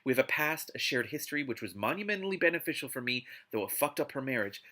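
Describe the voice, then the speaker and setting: A man hosting a live read-aloud of a book, mixing narration with casual, exasperated and expressive commentary. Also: mid-range voice, quick pace, slight background noise. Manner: exasperated, expressive asides while reading.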